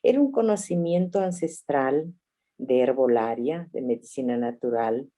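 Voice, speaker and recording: A woman in her sixties, a family doctor from Ecuador, telling her story reflectively with soft laughs over a video call. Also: a bass voice, measured pace, lo-fi sound. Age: 60s.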